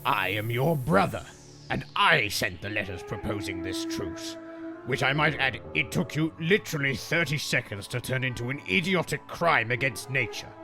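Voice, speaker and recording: A man playing a scheming, contemptuous old long-lost brother in a comic dub, with a dry, measured, menacing delivery. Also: medium pitch, animated, close to an everyday voice. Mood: dry sarcasm. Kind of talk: theatrical comic dub acting. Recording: music behind the voice.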